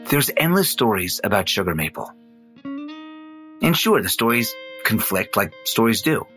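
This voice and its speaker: Musing man narrator, lowish voice, lively inflection, dramatic pauses, guitar music behind. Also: full character voice. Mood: fascinated, musing. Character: male investigative storyteller, fascinated by a legend. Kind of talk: documentary-style fiction podcast narration. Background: plucked guitar music.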